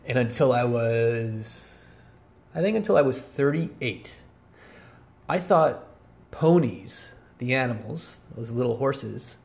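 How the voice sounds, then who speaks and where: A man's voice: mid-range voice, lively intonation, slow pace, gravelly, darkish tone. A man of about forty hosting a podcast in a rambling, casual solo monologue, with a wry, self-deprecating, digressive manner. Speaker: podcast host.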